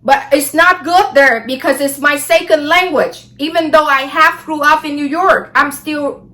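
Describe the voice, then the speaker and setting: A woman's voice: sits high, unhurried, bright, forceful tone. A woman, an English speaker, talking casually about astrology in a rambling way and often checking in with her listeners. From Vietnam. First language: Vietnamese. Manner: rambling, frequent "okay?" and "blah, blah" asides. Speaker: astrology vlogger.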